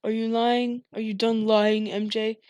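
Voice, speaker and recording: A woman's voice: on the high side, level tone, unhurried, a little mellow, no echo, lightly acted. A woman voicing a stern, menacing father who talks in harsh, commanding tones, recorded dry. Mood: coldly calm.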